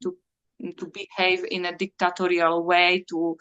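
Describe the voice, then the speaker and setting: Halting Slovak woman, low voice. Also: flat delivery, fairly bright tone, lo-fi sound. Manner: halting, searching for words, many fillers. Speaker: interview guest. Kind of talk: reflective conversational interview.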